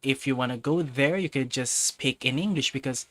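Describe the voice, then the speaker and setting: A man's voice: mid-range voice, moderate pace, fairly bright tone, no room sound. Male stream host from the Philippines, casual and meandering, often losing his thread; dry, close sound. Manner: rambling, loses his thread and circles back; frequent restarts and self-corrections. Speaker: livestream host. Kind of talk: casual livestream chat, answering viewers.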